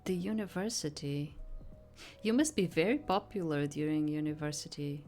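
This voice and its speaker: A woman's voice: deep voice, moderate pace, darkish tone. A woman streaming a visual novel, reading the game text aloud and breaking off into rambling, improvised commentary. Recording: game music behind the voice.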